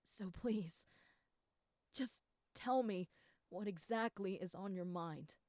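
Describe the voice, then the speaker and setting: A woman playing a proud, competitive schoolgirl rival in a romantic roleplay, speaking in an earnest, blunt, halting and easily flustered way. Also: medium pitch, darkish tone, no echo, studio quality, close to an everyday voice. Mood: earnest, frustrated. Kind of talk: roleplay audio acting addressed to the listener.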